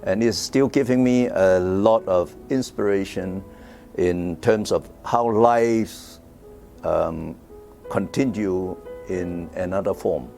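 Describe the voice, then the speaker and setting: A man's voice: low-pitched, slow pace, creaky. An older man from Hong Kong with a Hong Kong English accent, speaking about his own art in an interview and hesitating with many fillers and repeated words. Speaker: artist. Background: soft guitar music.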